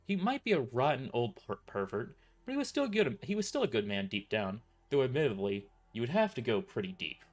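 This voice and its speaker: A man's voice: mid-range voice, very animated, a little fast, hardly any pauses. A man narrating a scripted first-person story in an informal way, stumbling and correcting himself as he reads. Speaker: author narrating own novel.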